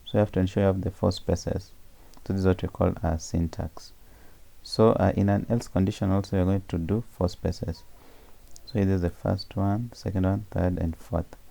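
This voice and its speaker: Gravelly deep-voiced man teaching code. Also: steady tone, moderate pace, warm tone. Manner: explains step by step with many fillers and self-corrections. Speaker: programming tutor. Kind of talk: screencast coding tutorial.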